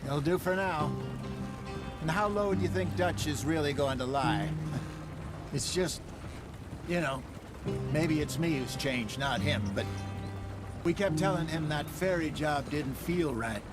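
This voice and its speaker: A man's voice: on the high side, measured pace, pauses often, close to an everyday voice. An actor playing a wry, silver-tongued older male outlaw schemer in a western game, with florid wording. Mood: uneasy, reflective. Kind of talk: dramatic western game acting. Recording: loud music behind.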